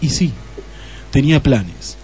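Casting: male storyteller, mellow mid-low tone, scripted radio drama narration over faint music. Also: expressive, slow.

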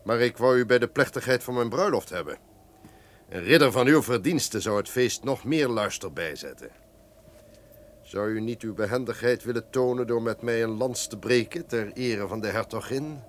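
An actor playing a commanding, courtly duke in a radio drama, speaking with formal authority. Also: male voice, mid-range voice, moderate pace, close to an everyday voice. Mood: gracious. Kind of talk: Dutch radio drama acting. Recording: noisy old radio play recording.

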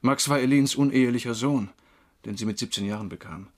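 An actor playing a troubled former drinker, wrongly convicted, confessing haltingly in a crime radio drama, with a husky voice. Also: male voice, medium pitch, close to an everyday voice. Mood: hesitant, confessional. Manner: halting, brooding.

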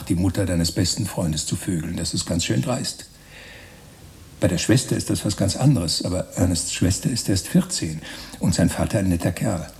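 Low, mellow, wry male narrator. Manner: musing, ironic, conversational. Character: wry, digressive male narrator, musing and coarse. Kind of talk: literary radio play narration.

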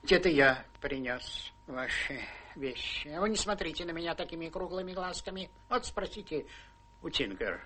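An actor playing a miserly, crude old country baronet in a radio play, with a sly, teasing, drawling manner. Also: male voice, fairly high pitch, animated, normal speed, bright, forceful tone, slight background noise. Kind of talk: theatrical radio play acting.

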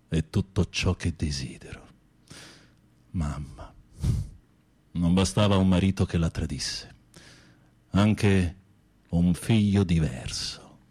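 A deep, husky, wistful male prisoner. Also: darkish tone. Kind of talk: radio drama acting.

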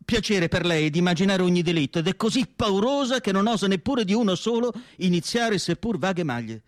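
A man playing a melancholy asylum doctor in radio theatre, narrating in an emphatic literary monologue with dramatic pauses. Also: sits high, lively intonation, dry recording, clean studio sound. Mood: grave, intense. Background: music.

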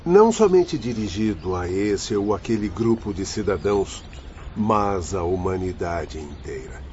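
Actor as mellow, low-voiced grave Brazilian doctor. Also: male voice, expressive. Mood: earnest. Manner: formal, deliberate, earnest. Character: grave male spirit doctor, earnest and scholarly. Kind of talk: radio drama acting. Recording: dull lo-fi recording, background noise.

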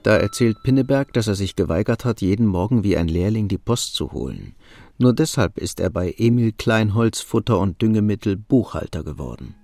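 A man narrating a German radio drama as a calm, observant storyteller with dry wit. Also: lowish voice, moderate pace, creaky, no room sound. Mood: matter-of-fact.

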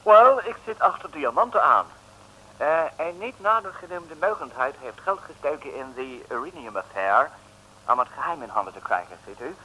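Polite English investigator, English accent, bright animated voice, old radio drama. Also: male voice, a little high, moderate pace, husky, heavily acted. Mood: confiding. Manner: courteous, exclaiming, mixing in English phrases. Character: excitable polite Englishman, an investigator hunting diamonds. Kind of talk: 1960s radio serial acting. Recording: old noisy radio recording, faint hiss.

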